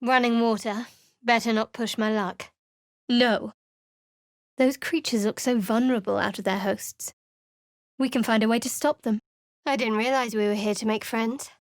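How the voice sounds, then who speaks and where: A woman plays a guarded young cleric who keeps her secrets close, her game voice cool and wry. The voice sits a touch high, and the studio sound is dead dry with no room around it. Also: no echo, natural voice. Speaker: companion character in a video game.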